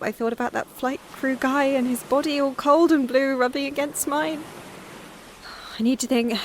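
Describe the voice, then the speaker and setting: A woman's voice: fairly high pitch, a little hiss or hum, close to an everyday voice. A woman playing an injured, despairing castaway alone on an island, speaking a raw, weary diary monologue in an audio drama. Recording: music behind the voice.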